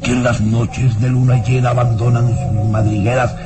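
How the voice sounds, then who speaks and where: An actor playing an arrogant, irascible baron in a vintage radio drama, with a theatrical, sinister, scornful delivery. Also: male voice, medium pitch, level tone, normal speed, over loud music, lightly acted. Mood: ominous, menacing. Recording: noisy old radio drama recording.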